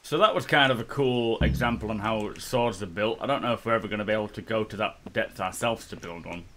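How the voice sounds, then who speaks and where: Low voiced guy narrating his game play, chatty and jokey, bit of hiss and clicks. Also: brisk, sharp tone. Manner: chatty, self-mocking. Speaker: gameplay commentator. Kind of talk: casual let's-play commentary.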